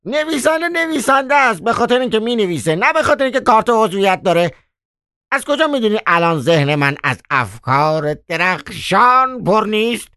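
A man's voice: mid-range voice, big pitch swings, no echo, clean studio sound, pitched above the performer's own voice. A man voicing a theatrical, mock-polite male trickster in an audiobook, drawling "ah" before his phrases. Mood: indignant. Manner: drawn-out, hesitant "ah" before phrases. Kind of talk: audiobook character voice.

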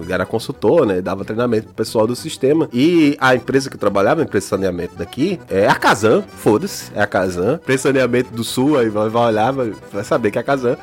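A man with a Northeastern Brazilian accent tells stories with lots of swearing and acted-out dialogue. His pitch swings widely as he talks. Faint music plays behind him. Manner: animated, swears, acts out dialogue. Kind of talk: casual storytelling.

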